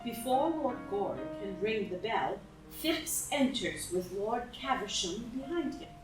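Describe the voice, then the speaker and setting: A woman's voice: medium pitch, big pitch swings, unhurried. A woman reading stage directions as scripted narration for a play. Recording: echoey room, distant mic, music.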